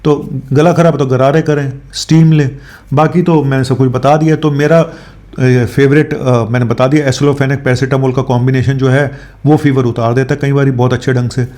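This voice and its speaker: A man's voice: medium pitch, steady tone, moderate pace, darkish tone. Conversational man giving health advice. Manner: conversational, mixes in English medical terms, often checks with tag questions. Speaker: doctor hosting a health advice show. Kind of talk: informal health explainer talk to viewers.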